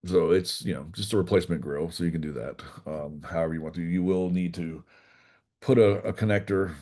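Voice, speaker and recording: Male instructor, low relaxed voice, informal software demo, folksy phrasing. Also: fairly quick. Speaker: class instructor. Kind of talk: informal technical software demonstration.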